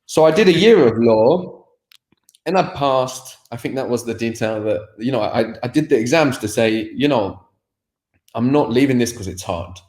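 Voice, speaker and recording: A man's voice: mid-range voice, lively intonation, normal speed, a little mellow. Reflective man in an echoey room. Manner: reflective, many fillers and restarts. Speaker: interview guest, language teacher. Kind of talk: candid personal conversation.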